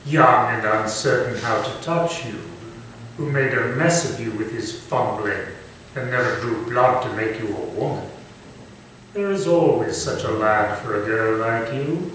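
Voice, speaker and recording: A man playing a manipulative, commanding Victorian gentleman villain in a staged thriller, speaking in formal, theatrical phrases. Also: medium pitch, close to an everyday voice. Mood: quietly menacing. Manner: formal, deliberate, theatrical delivery. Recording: distant, echoey stage recording with faint noise.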